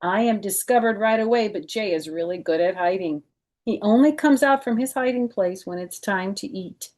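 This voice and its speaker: A woman's voice: medium pitch, normal speed, darkish tone. A woman hosting a children's storytime, reading a picture book aloud expressively and adding chatty, informal explanations.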